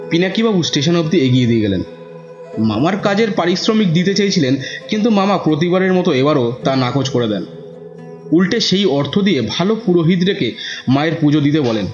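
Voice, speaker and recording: A man's voice: fairly high pitch, animated, fairly quick, natural voice. A man narrating an audio story as a young nephew recounting his uncle's adventures. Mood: storytelling. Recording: muffled audio with background music.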